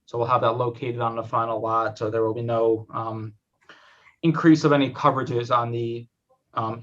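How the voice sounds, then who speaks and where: Male attorney, medium pitch, polite and businesslike presentation, slightly muffled conference-call sound. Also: a bit bright. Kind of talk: semi-formal explanatory presentation. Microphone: video call. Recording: slightly dull video-call audio.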